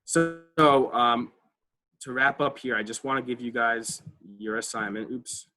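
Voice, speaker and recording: A man's voice: medium pitch, a little slow, sharp tone, soft background music. A man presenting a college advising workshop over a video call, talking casually with many fillers and checking in with "right?". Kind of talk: online class presentation.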